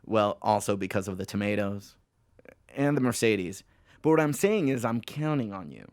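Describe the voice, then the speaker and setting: A man's voice: lowish voice, expressive, normal speed, a little mellow, dry recording, studio quality, lightly acted. An actor playing a needy, hard-drinking philanderer of a phone salesman in an audio drama, rambling and swearing as he goes. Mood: pleading, evasive.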